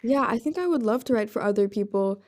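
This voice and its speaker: Shy teenage girl, soft mellow voice. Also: a little high, expressive, fast. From Netherlands. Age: late teens. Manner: shy, hesitant, lots of ums. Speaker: guest singer-songwriter. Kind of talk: interview answers. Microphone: laptop built-in mic.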